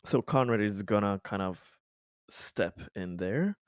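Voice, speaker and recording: A man playing a tabletop roleplaying game, improvising casually with hesitant, deliberate phrasing. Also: fairly low pitch, animated, smooth, dry recording, clean studio sound.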